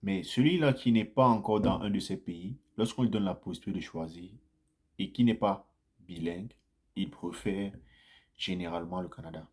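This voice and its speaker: A man with a fairly low voice talks to his viewers in a loose, chatty way. He repeats phrases for emphasis and livens up as his stories build. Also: moderate pace, breathy edge. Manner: chatty, repeats phrases, grows animated in anecdotes. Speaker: vlogger sharing immigrant experience. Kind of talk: casual first-person storytelling to viewers.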